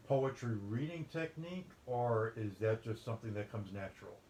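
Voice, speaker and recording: A man's voice: medium pitch, animated, moderate pace, a little mellow. A chatty male host who rambles through his questions with plenty of ums. He is recorded in a roomy space with a faint hum behind him. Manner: rambling, filler-heavy, chatty. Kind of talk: casual interview.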